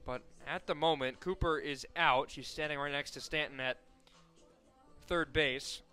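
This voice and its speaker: Bright, crisp American male play-by-play announcer. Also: on the high side, unhurried, faint music behind. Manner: conversational between plays, excited calls on action. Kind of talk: live softball play-by-play commentary.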